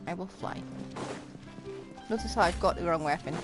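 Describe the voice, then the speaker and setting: A woman's voice: fairly low pitch, normal speed. A woman giving casual, reactive live commentary as she streams a video game. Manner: giggly, reactive. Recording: game music behind.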